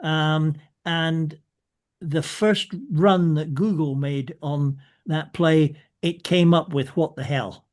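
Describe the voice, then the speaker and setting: A man's voice: fairly high pitch, fairly even intonation, takes it slowly, a little mellow. A man in a casual group discussion who translates plays and talks in a chatty, rambling way with many restarts. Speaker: play translator and company organizer.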